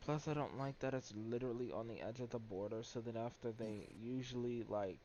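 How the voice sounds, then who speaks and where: A man's voice: mid-range voice, brisk, dark tone, dull audio. A man doing casual, offhand gameplay commentary as a streamer, in slangy reactions and mutters. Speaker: game streamer. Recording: clearly noisy stream audio.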